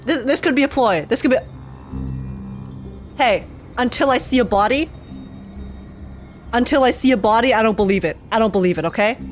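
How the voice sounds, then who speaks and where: A woman gaming streamer giving casual, slangy, excitable reaction commentary, often repeating phrases for emphasis. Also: on the high side, moderate pace, long pauses, soft background music.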